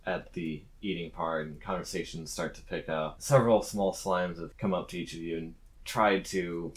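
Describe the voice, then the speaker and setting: A man's voice: deep voice, fairly even intonation, normal speed. A man improvising narration as the game master of a tabletop game.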